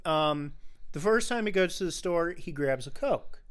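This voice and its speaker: A man co-hosting a film podcast in casual discussion, talking haltingly and often repeating his phrases. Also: fairly high pitch, expressive, clean tone.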